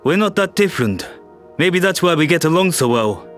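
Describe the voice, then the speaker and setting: A man's voice: fairly high pitch, very animated, moderate pace, faint music behind. A man voicing a composed male world leader in a science fiction audio drama, speaking formally and diplomatically. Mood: wry. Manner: formal, statesmanlike.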